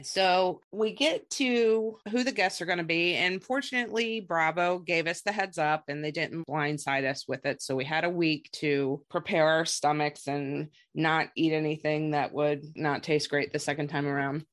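A woman's voice: low-pitched, normal speed, few pauses. A woman hosting a podcast, chatting casually and gossipily as she recaps reality television. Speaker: podcast host.

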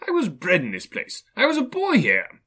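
A man's voice: high-pitched, huge pitch swings, moderate pace, bright, forceful tone, voice pushed up, heavily acted. A man voicing an emotional old man in a storybook reading, with pleading, fervent, exclamatory delivery. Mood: amazed, moved.

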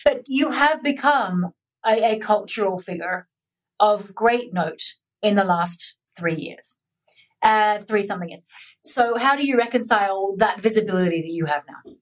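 A woman interviewing a guest, telling stories in an animated, chatty way with laughter. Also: mid-range voice, normal speed, rough recording. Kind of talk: conversational interview.

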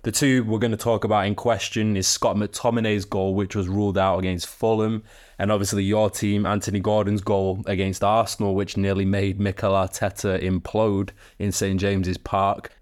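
A man's voice: fairly low pitch, level tone, moderate pace, a little mellow. A man hosting a football podcast, presenting and discussing the sport with a co-host.

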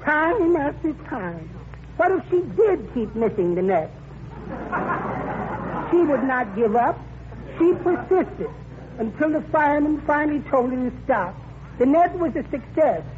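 A tinny, hissing old radio broadcast carries a meek little husband. His voice is high and very animated as he prattles through his story like a henpecked comic of 1940s radio. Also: measured pace, pauses often, a crowd in the background, heavily acted. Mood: earnest. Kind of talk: 1940s radio comedy acting.

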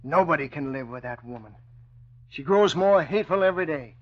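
An actor as a bitter, higher-voiced husband. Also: male voice, animated, a little fast, close to an everyday voice. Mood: bitter, determined. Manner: emphatic, resentful. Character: bitter, aggrieved middle-aged husband, club gentleman. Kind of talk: vintage radio drama acting. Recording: muffled old radio recording.

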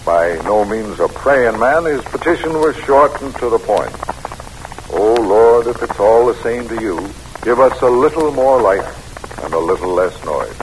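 An actor playing a weary, kindly middle-aged American president in measured, solemn, folksy style in an old-time radio drama. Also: male voice, lowish voice, lively intonation. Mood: reflective, storytelling. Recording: noisy old radio recording.

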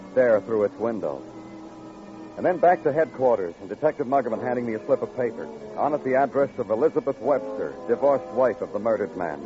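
A man's voice: mid-range voice, lightly acted. A man playing a hardboiled, world-weary police detective and narrator in a 1950s radio crime drama, wry and brooding with clipped storytelling. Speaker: narrator and lead actor. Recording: muffled vintage radio recording with music.

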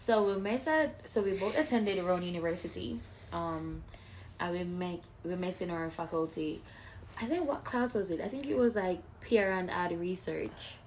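A woman's voice: on the low side, moderate pace, slight background noise, poor audio. A woman, co-hosting a podcast in casual chat and telling stories playfully. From Jamaica. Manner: animated storyteller, playful. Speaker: podcast co-host.

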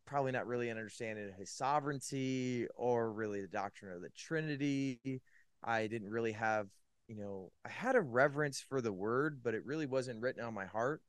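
A man's voice: mid-range voice, clean tone, no room sound, clean studio sound. A man from California, a podcast guest, telling his faith story in a casual, rambling way with many "likes" and restarts. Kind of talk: casual personal testimony.

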